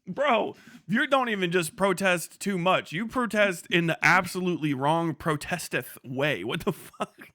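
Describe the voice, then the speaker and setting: A man's voice: high voice, measured pace, bright, forceful tone, no room sound, studio quality. A man ranting on a livestream in profane, incredulous commentary, often laughing as he talks. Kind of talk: casual livestream commentary rant.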